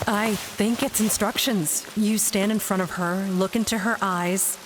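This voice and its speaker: A woman's voice: mid-range voice, steady tone, unhurried, noisy background, close to an everyday voice. A woman playing an earnest, curious and bold adventurer in an audio drama. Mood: solemn, explaining. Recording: long hall reverb under music.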